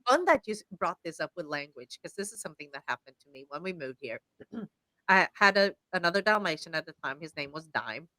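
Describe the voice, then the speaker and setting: A woman's voice: on the low side, fairly quick, no room sound, poor audio. A woman from Sweden hosting a podcast, chatting casually and telling stories with acted-out quotes. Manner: animated storyteller, acting out quoted lines. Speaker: podcast host.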